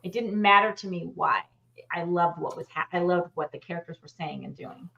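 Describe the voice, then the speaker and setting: A woman's voice: on the low side, normal speed, vocal fry, sharp tone. A woman in her forties chatting casually and enthusiastically as a co-host on a video call. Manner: enthusiastic, informal. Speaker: panel co-host. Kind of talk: casual chat. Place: room at home, video call. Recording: slightly dull video-call audio.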